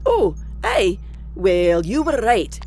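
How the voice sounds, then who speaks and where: A woman's voice: medium pitch, huge pitch swings, slow, crowd noise, heavily acted. A woman with a Scottish accent playing a brash, sweary, sardonic spaceship crew member. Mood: brash bluffing. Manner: blunt, sardonic.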